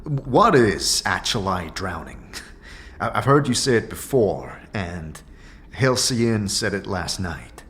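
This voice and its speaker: A man playing a hesitant, apologetic wandering adventurer in a fantasy audio drama, with halting, stammered questions. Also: on the low side, sing-song, a bit bright, full character voice. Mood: curious, hesitant.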